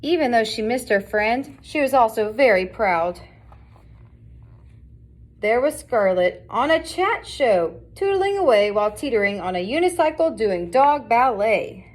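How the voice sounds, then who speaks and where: A woman with a fairly high voice narrates a children's storybook. She is reading aloud from a scripted tale meant for young listeners. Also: lively intonation, measured pace. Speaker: storybook reader.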